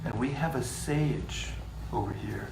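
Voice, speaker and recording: A man leading a scripture class, reading passages in a measured way and joking with the group between them. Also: medium pitch, creaky. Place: room with a live group. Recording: echoey room, distant mic, faint hiss.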